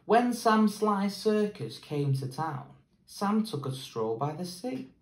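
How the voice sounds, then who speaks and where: Slow young English teacher reading aloud. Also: male voice, high-pitched, big pitch swings, echoey room. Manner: slow, measured storytelling. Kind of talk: picture book read-aloud. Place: plain indoor room.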